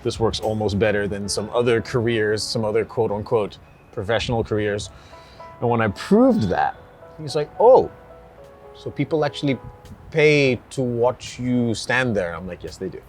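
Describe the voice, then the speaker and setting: A forty-year-old man from Hong Kong, a personal trainer, chatting in an energetic, emphatic way. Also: lowish voice, normal speed, soft background music. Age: 40s. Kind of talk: casual chat.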